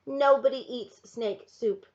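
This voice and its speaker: Eager little boy, pitched up, insistent, as a woman reads him; muffled recording. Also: takes it slowly. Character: eager, chatty young boy.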